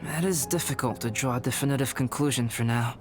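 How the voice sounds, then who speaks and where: A man voicing a composed young male alchemist who speaks in a measured, formal and precise way. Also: medium pitch, lightly acted. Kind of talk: video game voice acting. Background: game music.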